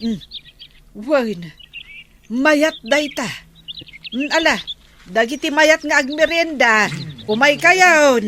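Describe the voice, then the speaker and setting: A woman's voice: high voice, lively intonation, slow pace, long pauses, bright, forceful tone, slight background noise, heavily acted. A woman with an Ilocano Filipino accent playing a bossy, neighbourly village captain's wife in a comedy radio drama. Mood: friendly, neighbourly.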